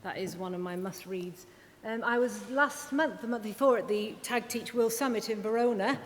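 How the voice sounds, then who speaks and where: A woman speech and language therapist giving a chatty conference presentation, full of quick asides and self-deprecating remarks. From Wales. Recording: reverberant hall with faint rumble.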